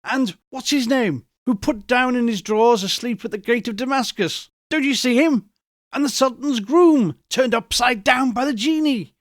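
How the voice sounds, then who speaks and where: A man voicing an excited old miser in a storybook reading, gushing and exclaiming with childlike ecstasy. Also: very high voice, normal speed, dry recording, clean studio sound, voice pushed up. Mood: ecstatic, nostalgic.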